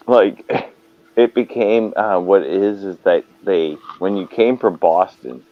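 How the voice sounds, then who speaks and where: A man's voice: low-pitched, takes it slowly, no echo, narrow, tinny audio. A man hosting a casual live chat, rambling and hesitant as he talks. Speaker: host.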